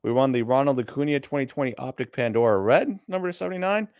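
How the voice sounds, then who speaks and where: A man's voice: mid-range voice, fast, darkish tone, no echo. A man with a Midwestern American accent, co-hosting a casual live stream and thinking aloud as he browses listings. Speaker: co-host of a card auction stream.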